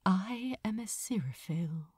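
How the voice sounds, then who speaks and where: Woman playing a soft, gentle male angel, unhurried. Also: mid-range voice, lively intonation, slightly rough, dry recording, clean studio sound. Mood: wistful. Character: gentle male angel, fond and quietly brave. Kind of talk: audiobook character acting.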